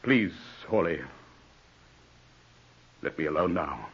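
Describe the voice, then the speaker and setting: An actor playing a cold, haughty gentleman in a 1940s radio drama, snapping commands at his servant and guarding his reputation. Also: low voice, lively intonation, slow pace, heavily acted. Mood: weary, pleading. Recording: muffled, dull old radio broadcast.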